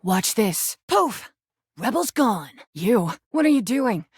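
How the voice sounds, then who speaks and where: A woman's voice: on the high side, big pitch swings, slow pace, husky, no echo, clean studio sound, heavily acted. A woman voicing a crude, flirty, mocking rubber duck come to life in a video game. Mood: taunting. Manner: sassy, mocking, combative, suggestive. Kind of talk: video game character dialogue.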